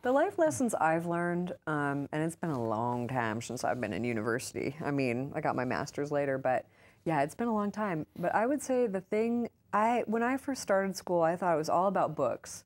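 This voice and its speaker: Low-pitched American woman, chatty and informal. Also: expressive, moderate pace, darkish tone. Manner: informal and chatty, peppered with "like" and "you know". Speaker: interview guest, author and urban farmer. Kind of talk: casual conversational interview answers.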